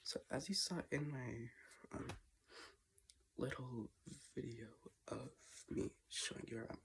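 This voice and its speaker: A teenager talking casually in a personal vlog from their bedroom, halting with restarts and often dropping to a half-whisper. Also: medium pitch, vocal fry, noisy background. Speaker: vlogger. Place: own bedroom, indoors.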